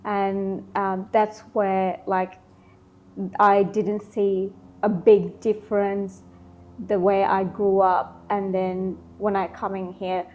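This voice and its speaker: A woman from Sri Lanka, in her thirties, answering interview questions casually, often pausing with ums and likes. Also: medium pitch, flat delivery, phone-quality sound. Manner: hesitant, many ums and likes. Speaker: interview guest, beauty business founder.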